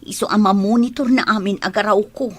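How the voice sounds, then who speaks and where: A woman acting a long-suffering, gentle wife and older sister in a radio drama. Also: medium pitch, fairly even intonation, fast, close to an everyday voice. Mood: troubled, confiding.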